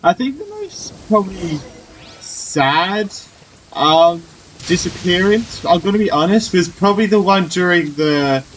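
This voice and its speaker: Animated young man streaming a game. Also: high voice, measured pace, a little hiss or hum, low-quality recording. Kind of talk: casual playthrough commentary.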